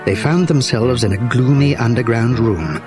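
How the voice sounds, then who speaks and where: A man's voice: medium pitch, normal speed, music in the background, close to an everyday voice. A man narrating a children's mystery story as a scripted audiobook. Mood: storytelling.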